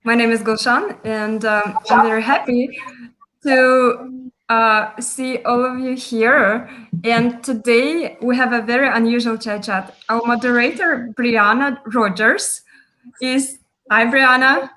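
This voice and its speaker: Bright high-voiced woman hosting. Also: fairly even intonation, slow pace, low-quality recording. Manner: welcoming, with frequent fillers. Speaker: host. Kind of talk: live online chat introduction.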